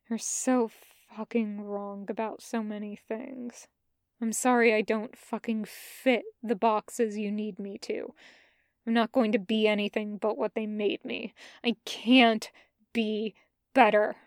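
A woman's voice: flat delivery, slow pace, clear, resonant tone, no echo, clean studio sound. A woman reader voicing a haunted young man who talks in a clipped, growling way. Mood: bitter. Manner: clipped, growling, low and tense.

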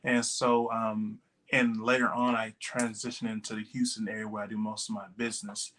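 A young man from Texas in his late twenties speaks as a podcast guest. His voice sits low and moves little in pitch. He talks in a loose, rambling way, full of little fillers. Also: flat delivery, normal speed, fairly bright tone. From Texas, USA. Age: 20s. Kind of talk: casual interview talk.

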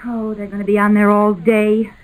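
An actress playing a frantic, scheming housewife in a 1940s radio comedy, exclaiming and melodramatic. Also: female voice, medium pitch, monotone, normal speed, lightly acted. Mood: exasperated. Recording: noisy old 1940s radio recording.

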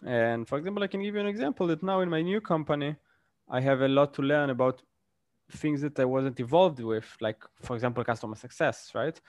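A man speaks in a casual interview, laying out career advice through concrete examples and often ending a point with "right?". His voice sits at an ordinary pitch. The sound is dry and close, with no room around it. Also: a little fast, dry recording. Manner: thoughtful, explains with examples and frequent "right?". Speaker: interview guest.

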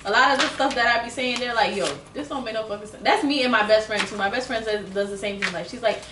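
A young woman chatting casually on a podcast, telling animated stories, laughing often and swearing freely. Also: a little high, fast. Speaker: podcast guest. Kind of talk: casual chat. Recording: roomy, distant mic, faint hiss.

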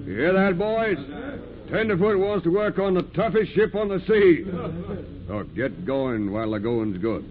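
Surly seaman, rough and mocking, folksy speech, vintage radio drama with narrow sound. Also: a little high, expressive, unhurried. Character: surly, mocking tough whaling sailor. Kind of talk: old-time radio drama acting. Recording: muffled old radio, crowd behind.

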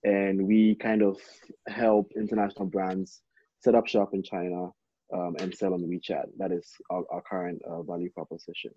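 Male podcast guest, deep and husky, measured with hesitant phrasing, dull close-up lo-fi sound. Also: level tone, no echo. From Ghana. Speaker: podcast guest, startup CTO. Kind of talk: conversational self-introduction. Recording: dull lo-fi, dry recording.

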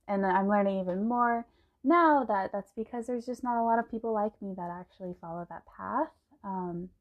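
Young adult woman from Texas, podcast host, casual solo chat with easy laughter; mid pitch, slightly dull recording. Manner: chatty, laughs often, many ums.

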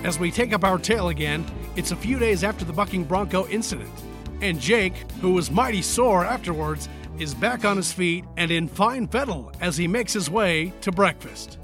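A man's voice: high-pitched, expressive, moderate pace. A man narrating a scripted old-time radio Western as a folksy announcer. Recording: loud music under the voice. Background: music.

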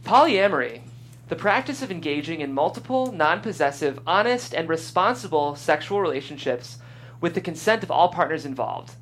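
A woman's voice: low-pitched, expressive, normal speed, slight background noise. A woman hosting a podcast and reading scripted stage directions as narrator. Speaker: host and stage-direction reader.

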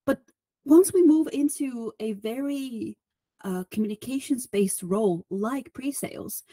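A woman's voice: mid-range voice, dark tone, husky, dry recording. A woman from Bulgaria, a guest on a podcast, talking in a chatty, self-deprecating way with many restarts and fillers. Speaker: podcast guest, solutions engineer. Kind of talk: conversational interview.

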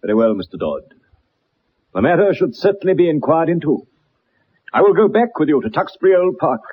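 An actor playing a keen, self-assured gentleman detective with precise, formal speech in a 1960s radio drama. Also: a little high, sing-song, fairly quick, slightly rough. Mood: brisk, assured. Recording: muffled old radio recording.

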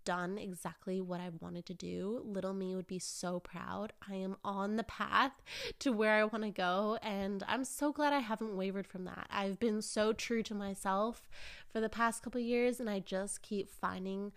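A woman's voice: mid-range voice, fairly even intonation, moderate pace. Slightly nasal young woman, chatting casually. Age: early twenties. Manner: informal and effusive, peppered with "like" and "you know". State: getting over a cold, raw throat. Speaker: podcast host. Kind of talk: casual, chatty solo podcast monologue.

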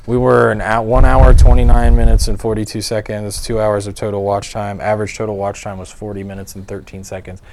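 A man's voice: fairly low pitch, little pitch movement, brisk, few pauses, noisy background. A man hosting a live stream in casual chat, joking loosely and swearing freely. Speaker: show host. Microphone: desk mic, shared setup.

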